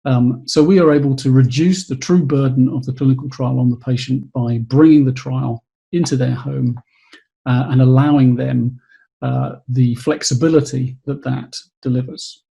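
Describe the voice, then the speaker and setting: A man with a gravelly, husky voice and a mellow, darker tone. He explains his company's work in a measured way, pausing often and repeating words. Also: medium pitch, fairly even intonation, poor audio. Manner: measured, with frequent hesitations and repeats. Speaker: company executive. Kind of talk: webcast pitch.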